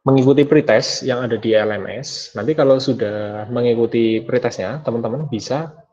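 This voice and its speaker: A man's voice: medium pitch, normal speed, darkish tone. A young male lecturer talks through an online class wrap-up, hesitating and restarting often. His mid-range voice comes through a dull, lo-fi call line. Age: 20s. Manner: hesitant, many fillers and restarts. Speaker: university lecturer. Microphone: video call.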